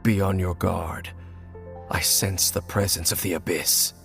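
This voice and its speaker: An actor playing a grave, mysterious male wanderer in a video game, giving measured, commanding orders. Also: flat delivery, gravelly. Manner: measured, commanding, low-key delivery. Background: soft game music.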